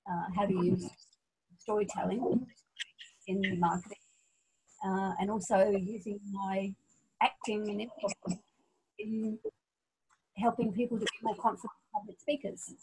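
A woman's voice: medium pitch, animated, measured pace, vocal fry, long pauses, background noise. A woman in her forties who was born in Scotland and grew up in Australia, speaking as an interview guest, thoughtful, with frequent ums and restarts. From Scotland, raised in Australia. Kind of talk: casual interview conversation.

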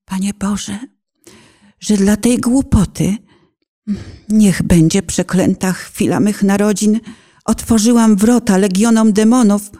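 A middle-aged woman giving a solemn, dramatic recitation of a poetic prayer in a penitent, emotive and measured manner. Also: mid-range voice, dark tone. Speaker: narrator reciting own poetic testimony.

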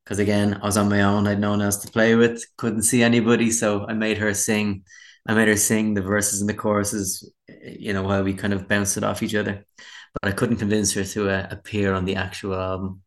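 A man's voice: on the low side, monotone, brisk. An Irish man, a musician being interviewed, chatting casually in a candid, rambling, self-deprecating way. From Dublin, Ireland. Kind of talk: casual chat.

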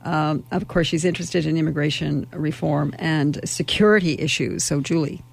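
Gravelly woman introducing a guest. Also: deep voice, fairly even intonation, moderate pace. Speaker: co-facilitator.

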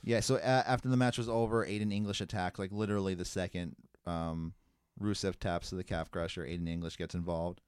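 Low smooth man recapping wrestling. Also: fairly even intonation, a little fast, darkish tone, no room sound, studio quality. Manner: rambling, lots of fillers. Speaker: podcast host recapping wrestling. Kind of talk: casual chat.

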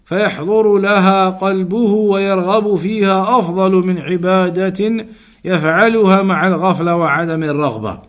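A man giving a religious lesson as a teacher, reading from a text and explaining it, restating his points in a didactic way. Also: sits high. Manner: didactic, repeats and rephrases points.

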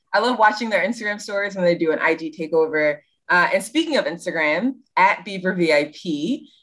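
A woman's voice: on the low side, a bit bright. A woman co-hosting a university admissions podcast, enthusiastic and bubbly. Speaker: podcast co-host, admissions advisor. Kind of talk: casual podcast chat.

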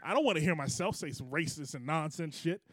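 High-voiced man joking on a podcast. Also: expressive, fast, fairly bright tone, slightly rough. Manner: joking, teasing, laughs often. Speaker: podcast co-host. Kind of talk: casual comedy podcast banter. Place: studio.

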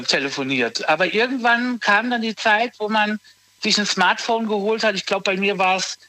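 A man in his thirties talks casually and haltingly, circling back and restarting his thoughts. His voice sits high and sounds bright and forward. The sound is dry, with no room echo. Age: 30s. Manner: hesitant, restarts often, lots of fillers. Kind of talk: casual conversation.

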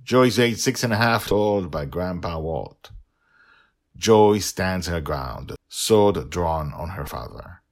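A man's voice: low voice, animated, slow. A man hosting a story podcast, narrating in a dramatic, emphatic way. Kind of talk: expressive scripted story narration and podcast talk.

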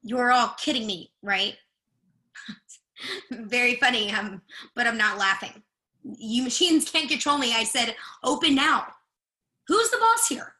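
A woman's voice: fairly high pitch, expressive, measured pace, sharp tone. A woman playing a harried, imperious professor in her fifties in a play reading, demanding and easily exasperated. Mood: indignant.

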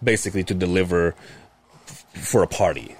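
Low-voiced man chatting in halting bursts. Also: husky, no room sound. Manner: halting, restarts while explaining. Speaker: podcast co-host. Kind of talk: casual chat.

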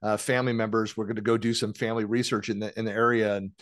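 A man's voice: fairly low pitch, little pitch movement, very fast, a bit bright, no echo, clean studio sound. A man hosting an interview podcast, talking casually and rambling a little. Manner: rambling, many fillers and restarts. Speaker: podcast host.

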